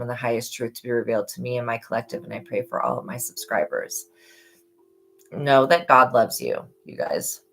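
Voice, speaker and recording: A hoarse woman giving a live-stream tarot reading, with earnest, motherly reminders and a preachy tone about faith. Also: very deep voice, a bit bright, poor audio. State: hoarse from yelling. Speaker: tarot reader.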